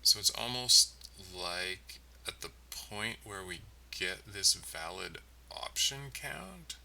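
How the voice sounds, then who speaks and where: Male developer streamer, halting think-aloud delivery, fry-heavy and very crisp-edged tone, keyboard clicks behind. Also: deep voice, expressive, sharp tone, recorded from a distance, low-quality recording. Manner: halting, muttering to himself. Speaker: game developer livestreaming. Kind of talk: casual thinking-aloud coding commentary. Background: typing and mouse clicking.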